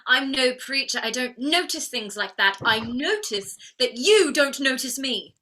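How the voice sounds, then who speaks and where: A woman's voice: high voice, animated, unhurried, pushed and bright, a little hiss or hum, exaggerated voice. A woman playing a proud, wounded young flower girl turned lady in a theatrical play reading, defiant and plaintive. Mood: hurt, reproachful. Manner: defiant, plaintive, emotionally outspoken.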